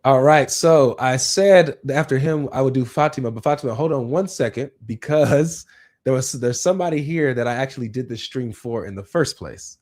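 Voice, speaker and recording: A man's voice: medium pitch, normal speed, darkish tone. A man hosting an informal religious livestream discussion, speaking emphatically and repeating phrases for emphasis. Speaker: livestream host.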